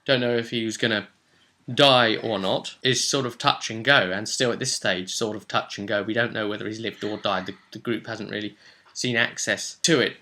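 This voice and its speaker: British man, very bright forceful voice. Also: fairly low pitch, steady tone, normal speed. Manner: rambling, colloquial storytelling. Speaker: game master recapping a tabletop role-play session. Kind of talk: casual spoken recap.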